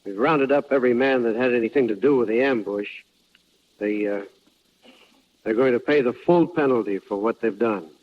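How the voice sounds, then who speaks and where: A muffled old broadcast of a man acting a sympathetic townsman in a Western drama. His voice is mid-pitched, and he speaks in a halting, awkward way. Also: natural voice. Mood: subdued, sympathetic. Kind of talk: 1950s radio drama acting. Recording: muffled vintage radio broadcast.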